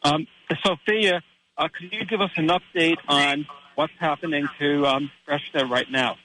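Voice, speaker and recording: American radio host, halting man. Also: a little high, sharp tone, slight background noise, poor audio. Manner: halting, many pauses. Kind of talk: radio interview.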